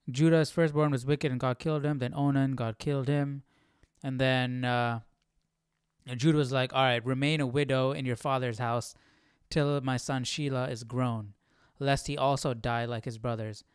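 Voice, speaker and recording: A man's voice: medium pitch, steady tone, measured pace, clear, resonant tone, no room sound, clean studio sound. A man hosting a casual live Bible study, reading and puzzling aloud as he repeats phrases.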